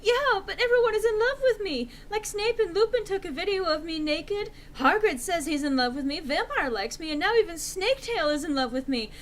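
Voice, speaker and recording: A woman's voice: very high-pitched, animated, fairly quick, few pauses, a little hiss or hum, pitched above the performer's own voice. A woman voicing a vain, melodramatic teenage goth girl in an overwrought, whiny, exclaiming manner for a story reading. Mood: self-pitying.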